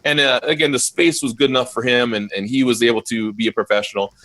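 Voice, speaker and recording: A man who runs a mobile DJ company, chatting in a casual live interview and telling stories with lively jumps in his voice. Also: mid-range voice, fast, rough recording. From Minnesota, USA. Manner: animated storyteller, voice jumps up when quoting.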